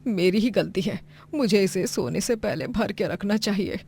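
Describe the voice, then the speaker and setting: A woman's voice: medium pitch, lively intonation, normal speed, vocal fry, a little mellow, husky. A woman voicing a grumpy, scolding older mother-in-law in a story cartoon, sarcastic and full of exclamations. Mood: grumbling. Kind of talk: moral story cartoon voice acting.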